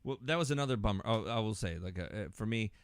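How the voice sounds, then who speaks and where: A man talking casually and informally, as in a relaxed podcast conversation between friends. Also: fairly low pitch, fast, clear, resonant tone, no room sound. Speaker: podcast speaker. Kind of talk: casual conversation about concerts.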